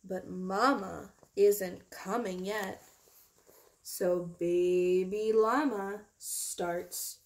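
A young woman reading a picture book aloud to children expressively, drawing out key words and explaining it in simple terms. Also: medium pitch. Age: young adult. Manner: slow, deliberate, drawn-out key words. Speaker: teacher reading a children's storytime.

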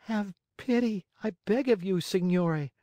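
A man's voice: high voice, expressive, measured pace, no room sound, pitched above the performer's own voice. A man voicing a small wooden boy marionette, earnest, brave and kind, in a storybook reading. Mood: tearful pleading. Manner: pleading, earnest delivery.

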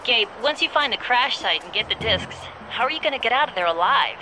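A woman's voice: a little high, expressive, brisk, noisy background, lightly acted. An actress playing a composed, dry-witted female intelligence partner in a video game. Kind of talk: video game cutscene acting.

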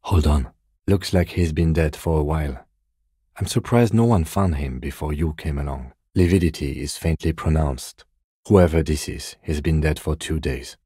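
Composed police detective, video game dialogue, husky, dark tone, close dry recording, restrained, dutiful. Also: male voice, extremely low pitch, dry recording. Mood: calm, analytical. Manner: measured, restrained, matter-of-fact.

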